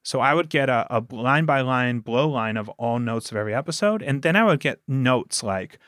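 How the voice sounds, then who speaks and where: A man's voice: medium pitch, expressive, moderate pace, fairly bright tone, dry recording. Earnest man in a dry-sounding interview. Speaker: audio drama producer and guest. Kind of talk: conversational podcast interview.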